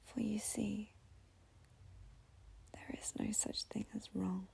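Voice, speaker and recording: A woman guides a meditation slowly and gently, leaving long pauses between short phrases. Her voice is very breathy and often slips into a whisper, with heavy creak at the edges. The delivery is soft, mellow and soothing. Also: mid-range voice, little pitch movement. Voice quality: breathy, husky, half-whispered.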